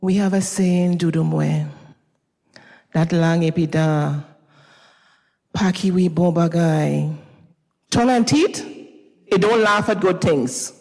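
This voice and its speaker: Woman playing husky, wise old Grenadian mother. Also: on the low side, takes it slowly, long pauses, a little mellow. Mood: consoling. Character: elderly Grenadian mother, wise, consoling, proverb-loving. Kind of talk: dramatized stage reading of fiction. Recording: reverberant recital hall.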